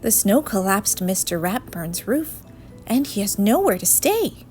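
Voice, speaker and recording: Woman as a slow-talking kindly, firm mother. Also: medium pitch, sing-song, loud music behind the voice. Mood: matter-of-fact.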